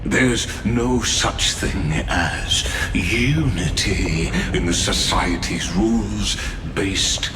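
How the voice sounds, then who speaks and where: A deep-voiced, skeptical male genius in a game scene. He talks very slowly and dryly. The voice echoes over music. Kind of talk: dramatic video game voice acting.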